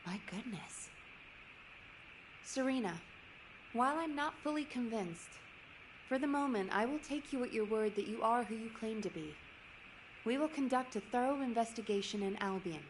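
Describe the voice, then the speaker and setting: A woman playing a brisk, businesslike commander in a sci-fi video game, speaking in a direct, measured, formal way. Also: on the high side, lively intonation, slight background noise, natural voice, low-quality recording. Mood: decisive, official. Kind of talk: scripted video game dialogue.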